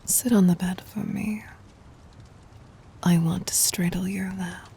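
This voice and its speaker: A woman playing a tender, possessive demon queen in an intimate ASMR roleplay, speaking in a soft breathy whisper with regal, formal endearments. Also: on the low side, fairly even intonation, takes it slowly, slight background noise. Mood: tender, seductive.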